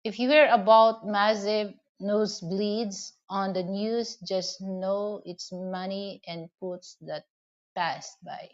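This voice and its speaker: A woman's voice: medium pitch, fairly even intonation, takes it slowly, muffled recording. A woman from the Philippines with a Filipino accent, chatting casually to her viewers and rambling with many "you know" and "okay?" fillers. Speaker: vlogger. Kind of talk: casual advice and storytelling to camera.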